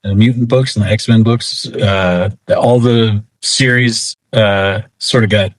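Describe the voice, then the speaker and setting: A man's voice: on the low side, steady tone, a little slow, no room sound. A man speaking as an interview guest, explaining things in a casual, informal and rambling way. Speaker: interview guest, comics writer.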